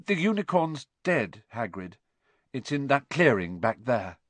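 A man's voice: mid-range voice, sing-song, measured pace, fairly bright tone, no room sound, voice pushed up. A man voicing an earnest, agitated young boy in an audiobook, with an urgent, feverish manner. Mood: dazed. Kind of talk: audiobook character dialogue.

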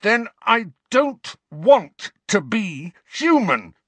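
A man voicing a furious, grief-stricken teenage boy wizard who shouts with his voice shaking with rage. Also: mid-range voice, lively intonation, slow pace, husky, no echo, voice pushed up.

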